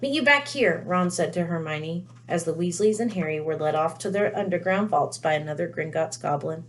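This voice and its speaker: A woman reading a children's novel aloud in an expressive storytelling style. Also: deep voice, fairly quick. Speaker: read-aloud reader.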